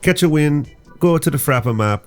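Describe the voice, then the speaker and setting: A man's voice: fairly high pitch, expressive, normal speed, darkish tone, soft background music. A man co-hosting a casual podcast, joking and rambling as he explains island slang. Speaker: podcast co-host. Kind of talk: casual chat.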